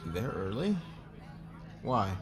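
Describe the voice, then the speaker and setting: A man's voice: lowish voice, very animated, slow, over music. A man playing a curt, sarcastic, demanding fashion magazine boss in a scripted audio roleplay. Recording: noisy background ambience.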